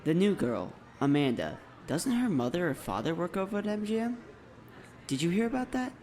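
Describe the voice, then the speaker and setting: A man's voice: sits high, sing-song, normal speed, darkish tone. A man playing an earnest, anxious schoolboy hero in an audiobook drama. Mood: intent.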